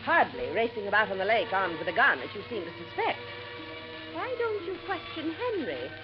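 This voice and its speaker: A woman's voice: mid-range voice, big pitch swings, normal speed, music in the background, close to an everyday voice. A woman playing a haughty young niece. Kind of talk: 1950s radio drama acting. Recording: muffled old radio broadcast.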